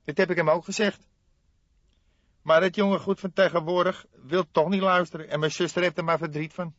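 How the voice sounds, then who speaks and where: High-pitched excitable scrawny café owner. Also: male voice, moderate pace, no room sound. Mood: resigned. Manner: excitable, emphatic street slang. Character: scrawny indignant small-time underworld café owner, male. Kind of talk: audiobook character acting. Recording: slightly dull, dry studio recording.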